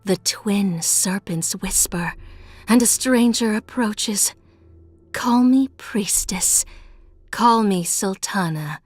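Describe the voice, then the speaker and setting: An exalted high priestess of a dark pleasure goddess in a fantasy game. She speaks very slowly at a middling pitch, sensual and imperious, as if chanting a rite. Music plays behind her. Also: breathy edge, no room sound. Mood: imperious, breathy. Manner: grandiose, incantatory, sensual. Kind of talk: theatrical fantasy video game monologue.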